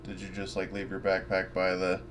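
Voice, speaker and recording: A man with a fairly low voice talks casually as a player in a tabletop game. He explains things plainly, with faint noise behind him. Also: monotone, a little fast, a bit bright.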